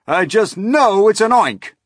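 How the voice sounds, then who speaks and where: A man's voice: fairly high pitch, expressive, normal speed, a bit bright, dry recording, clean studio sound, voice pushed up. A man voicing a flustered, easily shocked fire chief in a storybook, exclaiming and yelping. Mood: panicked yelling.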